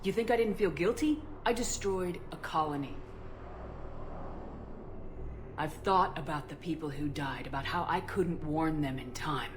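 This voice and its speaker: A woman's voice: low voice, normal speed, roomy sound, music in the background, lightly acted. A woman playing a resolute, frank military commander in a video game, speaking firmly and directly. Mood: remorseful. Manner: firm, direct. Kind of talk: video game cutscene acting.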